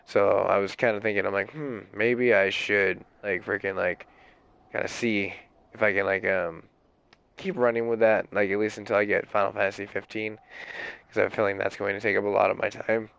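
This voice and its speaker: Raspy, sick young man, rambling. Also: lowish voice, flat delivery, brisk, a bit bright. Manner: rambling, full of "like" fillers and casual swearing. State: sick, hoarse. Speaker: game streamer. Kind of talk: casual chat.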